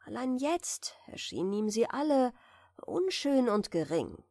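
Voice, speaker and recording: A woman narrates a bedtime fairy tale in a soft, soothing way. Her voice sits a little high and moves with lively melody, at a very unhurried pace. She reads gently, as if easing a listener to sleep. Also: no echo, clean studio sound. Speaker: audiobook reader.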